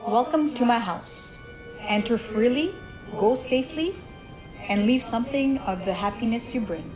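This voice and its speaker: A woman voicing an elegant, formal female demon expert in a tabletop game, speaking in a measured way. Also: fairly high pitch, over music, natural voice, poor audio. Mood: formal welcome.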